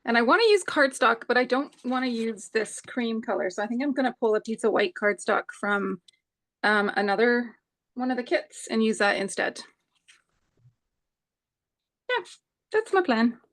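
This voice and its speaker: Woman in her 40s, fairly high voice, hesitant crafting chat. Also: animated, moderate pace, pauses often, a bit bright. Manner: thoughtful, hesitant with many ums. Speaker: co-host of a live scrapbooking crop. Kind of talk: casual chat while crafting.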